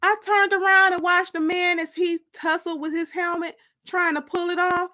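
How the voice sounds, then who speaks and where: A woman giving an expressive first-person dramatic audiobook reading, with theatrical pauses. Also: very high-pitched. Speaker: author reading her own novel.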